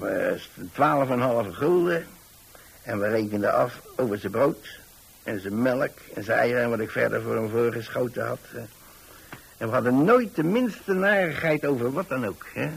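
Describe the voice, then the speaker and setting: A man's voice: mid-range voice, gravelly, husky, rough recording. An actor playing a kindly older landlord in a radio monologue, chatty and hesitant as he rambles along. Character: kindly rambling old pensioner landlord, widower-like homebody.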